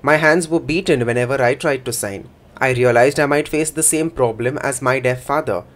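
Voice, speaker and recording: A man voicing a first-person interpretation for a signing speaker in a plain, measured way. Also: mid-range voice, fairly bright tone.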